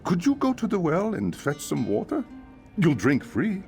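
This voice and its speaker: Nervous innkeeper with wildly swinging pitch. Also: male voice, wildly swooping pitch, normal speed, creaky, darkish tone, soft background music, full character voice. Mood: uneasy, chatty. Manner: stammering, nervous hesitations and sighs. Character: nervous male innkeeper, flustered but chatty.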